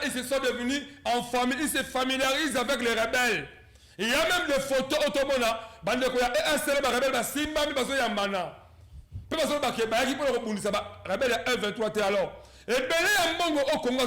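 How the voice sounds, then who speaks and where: A man hosting a political talk show, animated and prompting his guests. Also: very high-pitched, reverberant. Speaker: debate host.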